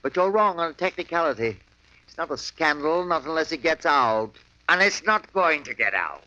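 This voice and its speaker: A menacing killer guardian. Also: male voice, a little high, expressive, moderate pace, pushed and bright. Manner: cold, menacing threats. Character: cornered middle-aged embezzling guardian turned killer. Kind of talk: 1950s radio drama acting. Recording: dull old radio broadcast recording.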